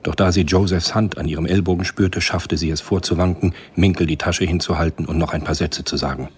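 A man narrating a spy thriller radio drama in a measured, literary storytelling manner. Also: sits low, fairly even intonation, a little hiss or hum, lightly acted. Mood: grave, measured.

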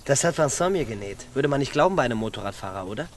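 A man's voice: medium pitch, expressive, racing, slight background noise, natural voice. Man playing a guarded, boyish young runaway. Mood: guarded, uneasy. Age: young adult. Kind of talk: German radio crime drama acting.

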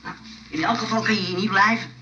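Timid honest simple guy, hesitant, bright highish voice, radio drama. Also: quick pace, a little hiss or hum. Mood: reluctant, worried. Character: timid, honest simple odd-job man, reluctant friend. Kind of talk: radio play acting. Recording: muffled radio play with faint music.

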